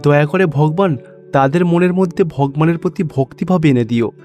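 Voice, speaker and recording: Pious, lonely cartoon schoolboy man acting, fairly high pitch, earnest, music beneath. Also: normal speed, darkish tone, natural voice. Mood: pleading. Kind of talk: cartoon story dialogue.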